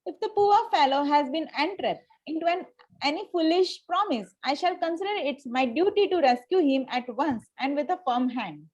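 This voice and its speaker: A haughty young society lady from a Victorian comedy, played by a woman at a table read. Her voice sits very high. She speaks formally and with cool politeness, tripping now and then on a line. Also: expressive, normal speed, darkish tone, lo-fi sound. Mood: coolly indignant. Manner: formal, stumbles over lines. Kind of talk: amateur reading of a Victorian comedy play.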